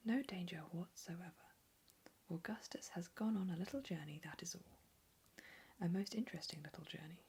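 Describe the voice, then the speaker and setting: A woman in a whispered storybook reading, voicing a fussy, eccentric gentleman. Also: lowish voice, slightly rough, heavily acted. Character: fussy eccentric man, chocolate maker, reassuring.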